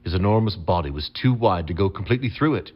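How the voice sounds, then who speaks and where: Very deep-voiced male storybook narrator. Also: animated, fast talker, highs cut off, soft background music. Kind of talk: scripted storybook narration for an audio adventure game.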